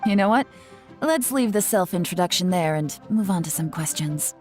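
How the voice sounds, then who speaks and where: Lady as cool businesslike woman in an anime game, mellow lowish voice, measured. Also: lively intonation, soft background music, close to an everyday voice. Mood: brisk. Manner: measured, matter-of-fact, articulate. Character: composed, shrewd female assessor, cool and businesslike. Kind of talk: anime-style game voice acting.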